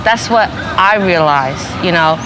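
A woman's voice: on the low side, lively intonation, measured pace, noisy background. A woman in her twenties chatting in an interview, dropping "you know" often. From New York. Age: 20s. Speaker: interviewee, family store worker. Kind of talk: casual interview.

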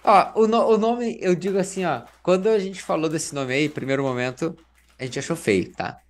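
A man hosting and moderating a podcast, talking in a relaxed, informal way. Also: fairly high pitch, normal speed. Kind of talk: casual podcast chat.

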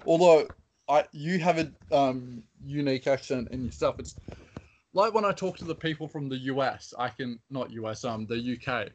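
An Australian-accented man chats easily on a podcast, telling stories at a relaxed, even clip. His voice sits at an ordinary middle pitch. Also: normal speed, a little hiss or hum. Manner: easygoing anecdotal storytelling. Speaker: podcast co-host. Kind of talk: casual chat.

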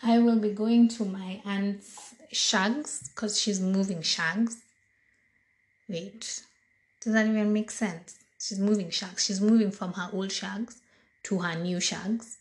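A woman in her thirties with a Kenyan accent hosts her own talk show alone. Her voice is a bit hoarse this morning, and she talks in a slow, relaxed way, filling her pauses with ums and uhs. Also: mid-range voice. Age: 30s. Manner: slow, deliberate, chatty with frequent fillers. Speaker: podcast host. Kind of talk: casual solo monologue.

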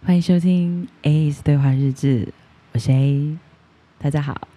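A woman's voice: deep voice, fairly even intonation, measured pace, warm tone. A woman chatting casually as a guest on a podcast. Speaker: guest podcaster, ASMR creator. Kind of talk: casual interview chat.

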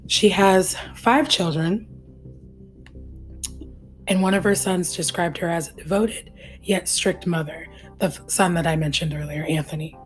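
A woman's voice: fairly low pitch, unhurried, pauses often, soft background music, rough recording. A woman co-hosting a casual true-crime podcast, talking earnestly and chattily and laughing easily. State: hoarse, losing her voice. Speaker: podcast co-host.

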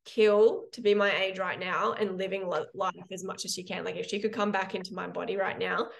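A young woman, a personal trainer and health coach, speaks as a guest in a podcast interview. She talks frankly and reflectively and tells her stories with animated energy. Her voice sits at a middle pitch for a woman. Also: a little fast, vocal fry.

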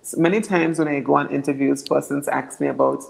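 A young woman from Anguilla with a naturally deep voice, answering interview questions earnestly and often repeating words as she builds her points. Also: fairly even intonation, fairly quick, gravelly, a little mellow, low-quality recording. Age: young adult. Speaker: political candidate.

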